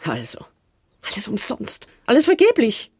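A woman's voice: fairly high pitch, huge pitch swings, normal speed, a little mellow, faint music behind. An actress playing a blunt, scornful woman in a German radio drama, dismissive and insistent. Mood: accusing, bitter. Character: blunt, scornful woman who dismisses illusions and others' troubles.

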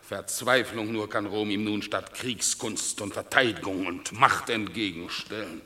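An actor playing a grim Roman general who brings bad news, declaiming bitterly in a classical verse radio drama. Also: male voice, fairly low pitch, a little slow, sharp tone.

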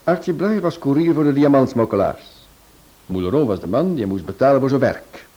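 An actor playing a clever detective who lays out his reasoning in a measured way in a radio play. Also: medium pitch, dark tone, breathy edge. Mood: theorizing. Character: clever male detective, analytical. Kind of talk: vintage radio play acting. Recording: dull old recording.

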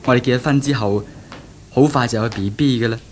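An actor playing a pragmatic young graduate and fiancé in a 1970s Cantonese radio drama, explaining things earnestly and persuasively. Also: male voice, medium pitch, a little fast, a little hiss or hum, close to an everyday voice. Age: young adult.

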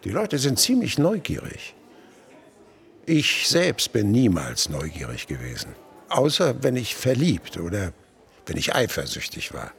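An actor playing a worldly older Parisian gentleman in a radio play, confiding and musing in a creaky voice. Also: fairly low pitch, huge pitch swings, measured pace, exaggerated voice. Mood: wry, musing. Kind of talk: German radio play dialogue.

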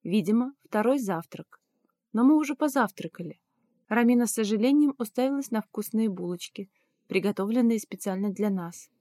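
A woman's voice: on the high side, expressive, moderate pace, dark tone, no echo, studio quality. A woman reading scripted first-person fiction as an audiobook narrator.

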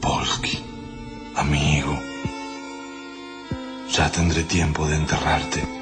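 A man's voice: rumbling low, flat delivery, slow. An actor playing a grieving, weary male survivor in a radio drama, brooding and talking to himself. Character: Juan, grieving man survivor in a protective suit, tense and weary. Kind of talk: radio drama acting, inner monologue. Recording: loud music bed behind voice. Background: loud music.

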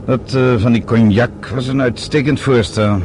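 A man's voice: medium pitch, fairly even intonation, moderate pace, darkish tone, lightly acted. A man acting a proud, stubborn older businessman with a brusque manner in a Dutch radio drama. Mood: polite, subdued. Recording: old radio drama, faint noise.

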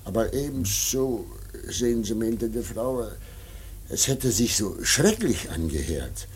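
A man's voice: fairly low pitch, very animated, slow, a little hiss or hum. An actor playing a deferential, troubled working man in a German radio play, talking in a plain, hesitant, colloquial way. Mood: uneasy.

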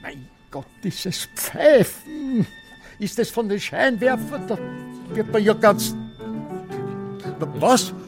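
An actor with an Austrian accent playing a confused, stubborn old film director who speaks haltingly in a radio play. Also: male voice, sits high, lively intonation, soft background music, full character voice. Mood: disoriented. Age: older. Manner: hesitant, halting, repeats himself. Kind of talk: radio drama acting.

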